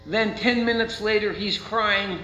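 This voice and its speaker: High-pitched American preacher man, roomy sound. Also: bright, forceful tone. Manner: rhetorical questions, deliberate dramatic emphasis. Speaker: Lutheran pastor preaching. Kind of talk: sermon. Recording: roomy, muffled, with faint mains hum.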